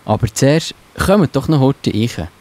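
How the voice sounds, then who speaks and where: A man's voice: medium pitch, expressive, unhurried, darkish tone. A man playing the apostle Peter in a children's audio drama, musing and pondering aloud. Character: Peter, thoughtful kindly bearded apostle man.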